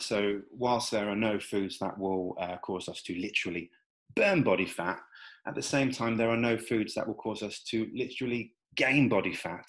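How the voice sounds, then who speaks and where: Chatty man explaining nutrition slides. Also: fairly low pitch. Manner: relaxed, many fillers and restarts. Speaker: nutrition coach. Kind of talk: talking over slides, casual explainer.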